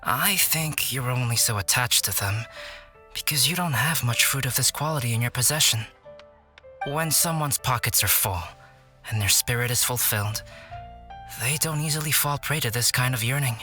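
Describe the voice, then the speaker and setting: Anime game scholar, played by a man: young male alchemist, calm, measured, subtly teasing, mid-pitched and bright. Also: faint music behind, close to an everyday voice. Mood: gently teasing. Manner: measured, composed, articulate.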